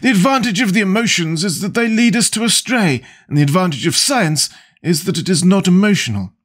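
A man voicing a witty, languid young aristocratic gentleman with an urbane, epigrammatic manner, read as an audiobook character. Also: sits high, lively intonation, fairly quick, pitched above the performer's own voice.

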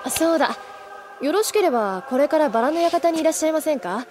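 A woman's voice: high-pitched, lively intonation, fast, noisy background. An actress playing a breezy, teasing older schoolgirl with a playful, mock-pompous way of talking in an anime audio drama. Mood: casual, courteous.

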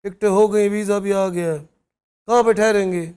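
A man's voice: high-pitched, steady tone, a little mellow, clear, resonant tone, lightly acted. A man in drag playing a sassy middle-aged auntie who teases and sings folk songs in a TV studio. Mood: teasing. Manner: teasing, playful, sings folk tune slowly. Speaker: comedian in drag. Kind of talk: comedy sketch with singing. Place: TV studio set.

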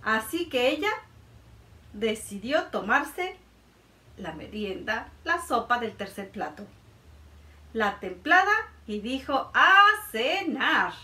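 A woman in her thirties reading a picture book aloud to children, expressive and sing-song. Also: fairly high pitch, slow, long pauses. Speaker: children's librarian storyteller. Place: indoor room by a felt board. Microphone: camera a few feet away. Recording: roomy sound from a distance.

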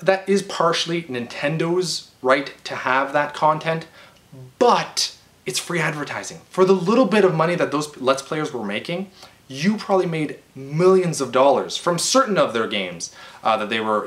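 A man's voice: fairly high pitch, a bit bright. A man, giving an exasperated, emphatic off-the-cuff rant to camera, often stammering and restarting. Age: 20s. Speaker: video game vlogger. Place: living room, indoors. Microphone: webcam or camera at about arm's length.

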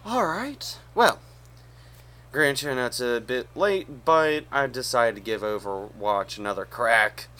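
A young adult man chatting casually and haltingly as a video host, recorded indoors on a webcam mic. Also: medium pitch, pushed and bright. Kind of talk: casual reaction chat. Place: small indoor room.

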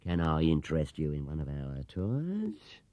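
A man voicing an oily coach-tour salesman with a British accent, fawning but quick to turn nasty, in a storybook reading. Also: rumbling low, wildly swooping pitch, dry recording, heavily acted. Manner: fawning, then curt and sneering. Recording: dull 1970s cassette recording.

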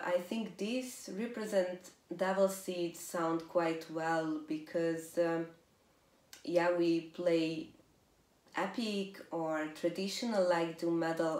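A young Hungarian-accented woman answers interview questions slowly and thoughtfully, her voice sitting a little low. She sounds set back from the camera in a room with a noticeable echo. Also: a little mellow. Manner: slow, thoughtful, measured. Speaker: band lyricist. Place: living room on a leather sofa. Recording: echoey room, mic at a distance.

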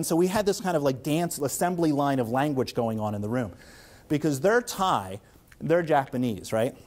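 Philly accent guy, middle-aged, rambly excited lecture in a seminar room, lots of um and restarts, picked up by a camera across the room. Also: mid-range voice. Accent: Philadelphia. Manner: enthusiastic, rambling, many restarts and fillers. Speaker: visiting lecturer. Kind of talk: academic seminar talk.

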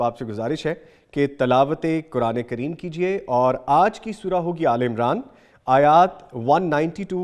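A man's voice: fairly high pitch, a little mellow. A man in his thirties hosting a religious morning show in a TV studio, speaking in an earnest, formal and courteous way. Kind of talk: TV show presenting. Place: TV studio set.

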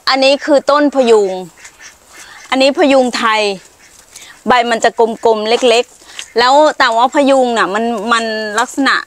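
A woman's voice: a little high, steady tone. Middle-aged woman explaining trees outdoors. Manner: unhurried, explanatory, hesitant fillers. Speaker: farm owner showing trees. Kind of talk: casual guided walk explaining trees. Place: outdoors on a farm among trees.

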